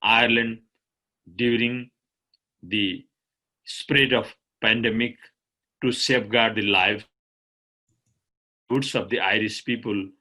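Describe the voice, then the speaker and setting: A man from Nepal with a Nepali accent, an embassy official giving a formal, ceremonious prepared speech in a measured way. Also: fairly low pitch, fairly even intonation, sharp tone, rough recording. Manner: measured, ceremonious, stately delivery.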